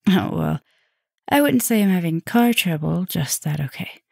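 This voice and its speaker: A woman playing a caring, softly dominant vampire professor in an ASMR roleplay, talking gently and intimately to the listener, with breathy drops toward a whisper. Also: fairly low pitch, animated, normal speed, no room sound, studio quality. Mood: chatty, casual.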